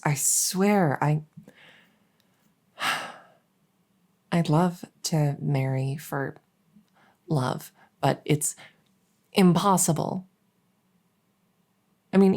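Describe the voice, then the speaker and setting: A woman's voice: low-pitched, darkish tone, exaggerated voice. A woman playing a world-weary young princess in an intimate audio roleplay, speaking hesitantly and confidingly, sometimes close to a whisper. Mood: exasperated, wistful. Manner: hesitant, halting, confiding, with trailing pauses.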